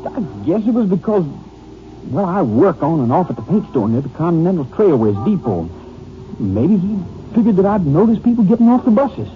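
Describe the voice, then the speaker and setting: A folksy young small-town man bristles and dodges questions in an old radio drama. His fairly high voice moves up and down a lot. The sound is narrow and vintage. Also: big pitch swings, moderate pace. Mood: uneasy, evasive. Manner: prickly, folksy, defensive. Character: defensive, evasive young small-town brother protecting his sister. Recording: tinny, noisy old radio recording.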